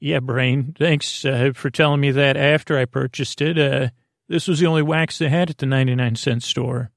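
A man with a creaky voice hosting a bedtime podcast, rambling in a halting, goofy, digressive way. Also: medium pitch, fairly even intonation, no room sound, studio quality. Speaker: podcast host.

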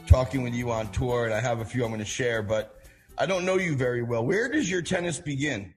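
A man hosting a conversational interview, asking questions haltingly and restarting them several times. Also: mid-range voice, soft background music. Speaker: show host, interviewer.

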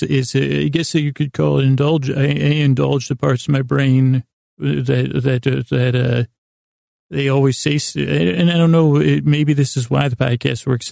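A man with an American accent and a breathy, creaky voice hosts a sleep podcast, delivering a halting, meandering, whimsical bedtime monologue full of stammers and self-interruptions. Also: medium pitch, dark tone, no echo, clean studio sound.

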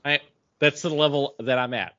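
A man's voice: medium pitch, steady tone, normal speed, lo-fi sound, crowd noise. A man co-hosting a podcast in casual conversation, joking in a self-deprecating way. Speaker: podcast co-host. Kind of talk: casual chat.

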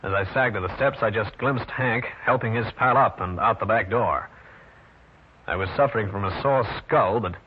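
An actor with an American accent playing a wry, tough private detective in an old-time radio drama, wisecracking and hard-boiled. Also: male voice, lowish voice, moderate pace, lightly acted. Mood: wry, narrating. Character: wry tough New York private detective, adult man. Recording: dull, noisy old radio recording.

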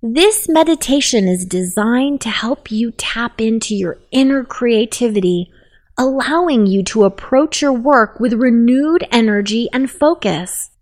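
A woman leading a guided meditation in a soft, soothing, unhurried voice. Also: on the high side, lively intonation.

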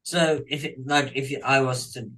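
A man's voice: mid-range voice, steady tone, normal speed, a bit bright, poor audio. A man from Norway, a native Norwegian speaker with a Norwegian accent, chatting as an interviewer in a casual conversation.

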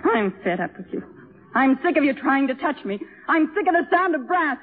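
A woman's voice: high-pitched, very animated, moderate pace. A woman playing an emotional, intense character in a 1950s radio drama, speaking in short, dramatic, urgent phrases. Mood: furious contempt. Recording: tinny 1950s radio recording.

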